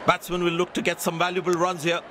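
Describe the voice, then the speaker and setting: A man playing an excitable cricket commentator in a video game, calling the play in short scripted lines. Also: high-pitched, quick pace, lightly acted. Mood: admiring. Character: excitable male cricket commentator, full of praise. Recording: loud stadium crowd noise behind. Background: roaring cricket crowd.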